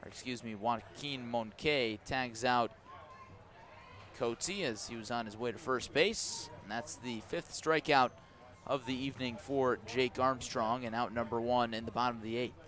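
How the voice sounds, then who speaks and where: A man with an American accent doing conversational live radio baseball play-by-play, mixing clipped pitch calls with storytelling and wry asides. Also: steady tone, normal speed, a bit bright. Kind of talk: live radio sports commentary mixed with storytelling.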